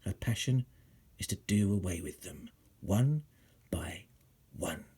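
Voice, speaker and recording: A man reading a children's book aloud like a teacher, theatrical and suspenseful, dropping into hushed whispers and swelling for emphasis. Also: fairly low pitch, expressive, slow, breathy edge. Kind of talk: dramatic storytelling, scripted narration.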